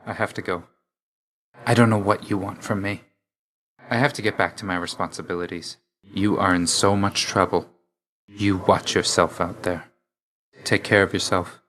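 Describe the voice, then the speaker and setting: Dour young grim reaper god, game character, deep voice with a bright hard edge, terse and unhurried. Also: male voice, heavily acted. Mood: cold, dismissive. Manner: clipped, terse, stern and weary delivery. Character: brooding god of death, young man, curt and dour. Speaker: video game voice actor. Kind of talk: video game character voice lines.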